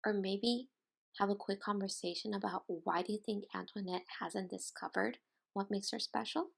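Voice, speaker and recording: A woman teacher doing an interactive storybook read-aloud, expressive and deliberate, pausing to put questions to young listeners. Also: medium pitch, gravelly, husky. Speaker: teacher reading aloud to children.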